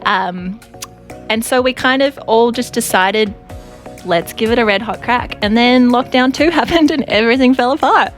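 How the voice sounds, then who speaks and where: A woman's voice: a little high, moderate pace, faint music behind. A young Australian woman, a band member and guest on a radio interview, talks casually and pokes fun at herself. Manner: laughing, self-deprecating.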